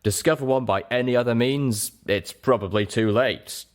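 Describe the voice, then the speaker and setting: A man's voice: mid-range voice, moderate pace, close to an everyday voice. A man voicing a female pony radio DJ, theatrical and folksy as she addresses her listeners with survival tips. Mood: folksy warning. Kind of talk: radio survival-tip broadcast.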